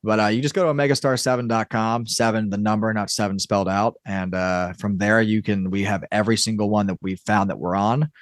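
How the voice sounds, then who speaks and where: Podcast guest guy, lowish voice, super dry recording, casual and kinda halting chat. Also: fairly even intonation, dry recording. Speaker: podcast guest, audio drama creator.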